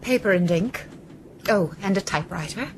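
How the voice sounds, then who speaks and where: A woman's voice: mid-range voice, expressive, moderate pace, a little hiss or hum, natural voice. An actress playing a genteel, spirited lady writer in a radio drama, prim, polite and composed. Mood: delighted.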